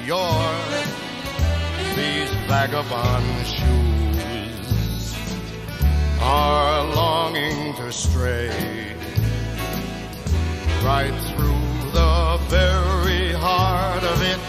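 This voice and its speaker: Woman singing slowly over backing music. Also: low-pitched. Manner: drawn-out sung lines. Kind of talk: slow karaoke singing.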